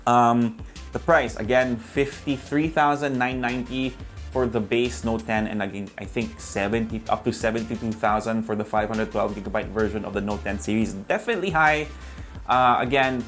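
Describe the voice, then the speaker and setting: A man from the Philippines hosting a casual tech review, his voice sitting at an ordinary middle pitch. He talks in a relaxed, conversational way and speaks straight to his viewers. Soft music runs faintly underneath. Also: normal speed.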